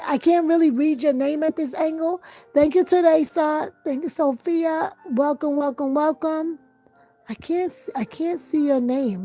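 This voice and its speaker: High-voiced woman giving an encouraging tarot reading. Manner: earnest, encouraging, repeats phrases, checks in with "okay?". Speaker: tarot reader hosting a live stream. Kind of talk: live tarot reading with motivational talk.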